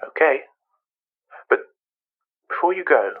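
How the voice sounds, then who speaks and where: Slow, wistful, controlling man. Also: fairly high pitch, sing-song, slightly rough, phone-quality sound, exaggerated voice. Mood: coolly controlling. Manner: measured, fragmented, repetitive phrasing. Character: wistful, controlling, quietly menacing man recounting his dates. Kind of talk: radio play monologue and dialogue.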